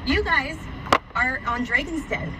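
A woman's voice: high voice, a little slow, far from the mic, very noisy. A woman hosting a casual radio interview in an informal, encouraging way. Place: radio studio.

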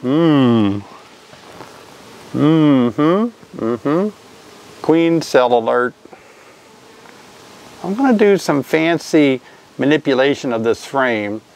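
A man's voice: mid-range voice, gravelly, a little mellow. Chatty older man teaching beekeeping. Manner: chatty, asks viewers questions. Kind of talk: casual instructional vlog. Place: outdoors by a hive, then a covered porch.

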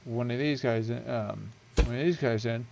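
Gravelly young man explaining a game build. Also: mid-range voice, moderate pace, background noise. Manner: rambling, self-correcting, chatty with many ums. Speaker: gaming video creator. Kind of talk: casual game build walkthrough. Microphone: headset.

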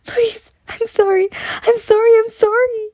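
A woman's voice: very high-pitched, little pitch movement, a little slow, dark tone, no echo, voice pushed up. A woman voicing a frightened young servant girl, pleading and deferential as she begs for her life in a story. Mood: panicked begging.

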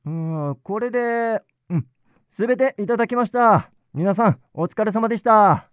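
An actor playing an easygoing, lax veteran sound director, offhand and casual, in a voice drama. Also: male voice, high voice, expressive, moderate pace, clean tone, no echo, narrow, tinny audio. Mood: easygoing, businesslike. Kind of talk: voice drama acting.

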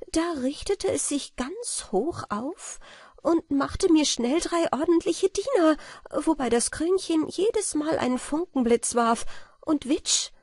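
A woman voicing an awed, excitable young village maidservant who tells a storybook tale with breathless wonder. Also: sits high, dry recording, clean studio sound, pitched above the performer's own voice.

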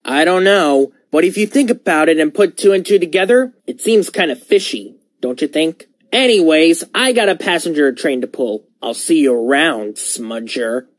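A man voicing a chatty, scheming steam engine who insinuates things in an animated game. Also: fairly high pitch, normal speed, few pauses. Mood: sly, gossipy. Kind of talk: fan animated game cutscene acting.

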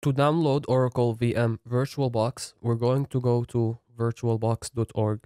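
A man's voice: medium pitch, flat delivery, moderate pace, warm tone, smooth, no echo, studio quality. A man narrating a screen-recorded coding tutorial, explaining each installation step plainly. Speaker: software tutorial instructor.

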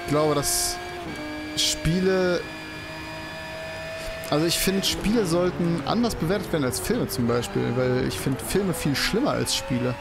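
A man's voice: on the high side, measured pace, long pauses. A young man rambling casually while commentating a racing game, swearing and exclaiming at the track. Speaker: let's play host. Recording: game music playing behind the voice.

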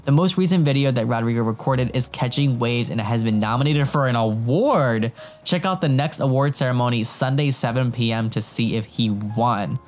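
A young man with a cold and a sore throat, chatting excitedly to viewers as he plays a life-simulation game into a headset mic. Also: medium pitch, normal speed. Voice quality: voice sounds a bit off from illness. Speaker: gaming YouTuber. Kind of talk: casual let's-play commentary.

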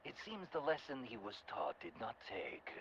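An actor playing a ruthless, coldly persuasive Soviet general in a video game, speaking in a deliberate, grandiose and menacing manner. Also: male voice, medium pitch, sing-song, a little hiss or hum. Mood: bitter, seething. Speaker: military commander villain. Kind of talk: video game audio log acting. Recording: muffled game audio with loud background ambience.

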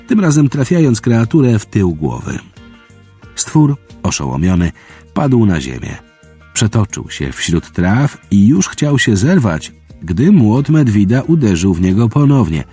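A man's voice: sits low, dark tone, husky. A man narrating a fantasy audiobook in a dramatic, scripted storytelling style.

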